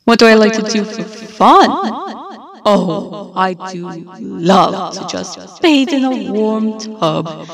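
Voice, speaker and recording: A campy demon villain, performed by a woman, speaks very slowly in a high, androgynous voice that swoops theatrically. The creature purrs sweet taunts straight at the listener in a cartoon-villain ASMR roleplay, with crowd noise behind it. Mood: coy, indulgent.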